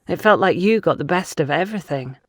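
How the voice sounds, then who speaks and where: A woman's voice: lowish voice, lively intonation, fairly quick, no room sound, clean studio sound. A woman voicing a defensive, suspicious young woman under questioning in an audio drama, with an accusatory, indignant manner. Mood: reluctant, candid. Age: young adult.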